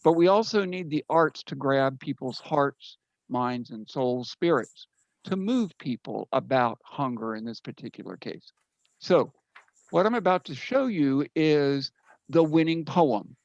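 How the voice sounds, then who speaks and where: A man from the United States presenting informally as a poet at an online open mic, in deliberate, emphatic short phrases over a video call. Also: fairly high pitch, lively intonation, no room sound. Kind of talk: informal spoken introduction to an audience. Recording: dull, slightly lo-fi video call audio.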